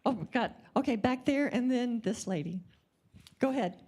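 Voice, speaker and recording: A woman moderating a public forum, brisk and upbeat as she calls on the next speakers. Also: on the high side, animated, darkish tone. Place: conference hall.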